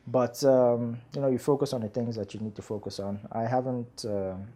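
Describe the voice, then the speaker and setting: A man, a screenwriter guest chatting in an interview, relaxed and rambling with many fillers. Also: mid-range voice, normal speed. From Nigeria. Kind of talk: casual interview chat.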